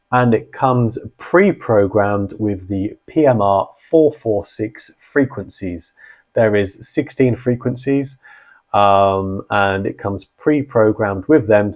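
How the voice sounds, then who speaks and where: A man's voice: lowish voice, lo-fi sound. A man hosting an informal beginner explainer, talking conversationally and checking in with short tags as he explains. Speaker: host, founder of a radio network.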